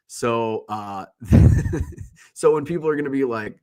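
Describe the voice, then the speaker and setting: A man's voice: mid-range voice, wildly swooping pitch, slow, mellow. A congested man hosting a livestream, talking in a casual, rambling, blunt way and swearing freely. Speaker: livestream host. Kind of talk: casual solo chat.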